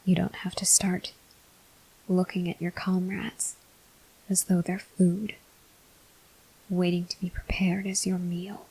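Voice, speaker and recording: A woman voicing a brooding, weary male vampire soldier in an ASMR roleplay, in a breathy, husky whisper with slow, grave delivery. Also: fairly low pitch, flat delivery, warm tone, heavily acted, low-quality recording. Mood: bleak, brooding.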